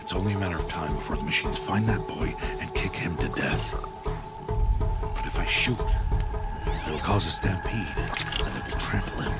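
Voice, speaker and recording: An actor playing a stern, gruff outcast hunter who gives firm, measured instruction in a video game. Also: male voice, sits low, lightly acted. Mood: grave, stern. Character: stern, gruff male outcast hunter, guardian teaching a young girl. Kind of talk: video game cutscene acting. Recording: loud music behind the voice.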